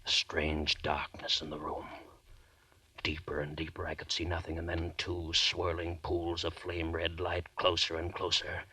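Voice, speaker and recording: An actor playing a haunted, guilt-ridden man unravelling into terror, with intense, halting, melodramatic delivery in a 1940s radio horror play. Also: deep voice, monotone, slightly rough, dry recording, exaggerated voice, rough recording. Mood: brooding fear. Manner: intense, halting, melodramatic, from hushed dread to shouting. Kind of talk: 1940s radio horror acting, first-person narration. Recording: vintage 1940s radio recording.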